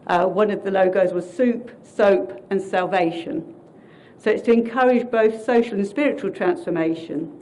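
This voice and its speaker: A woman who is a Salvation Army minister, giving a friendly presentation to a council meeting from prepared notes in her loud voice. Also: mid-range voice, low-quality recording.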